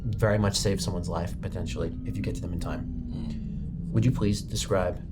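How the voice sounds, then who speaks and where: A man's voice: low voice, steady tone, normal speed. A man working as game master, narrating a tabletop game in a conversational style. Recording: music playing behind the voice.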